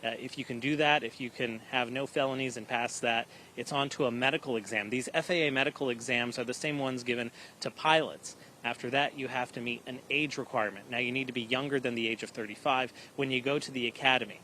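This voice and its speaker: A man reporting live for TV news with an emphatic, slightly halting delivery. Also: mid-range voice, steady tone, bright, forceful tone, slight background noise, poor audio. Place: live at an airport.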